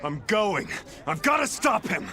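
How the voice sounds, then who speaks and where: Furious hot-head; high, forceful, rash game delivery. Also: male voice, very animated, unhurried, some chatter behind, exaggerated voice. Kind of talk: English-dubbed video game cutscene acting.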